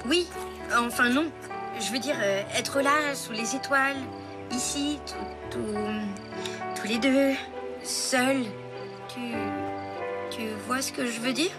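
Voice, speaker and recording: Nervous little cartoon boy, high voice, hesitant n earnest, loud music under it. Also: takes it slowly, pauses often. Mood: shy, earnest. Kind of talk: French animated series dialogue.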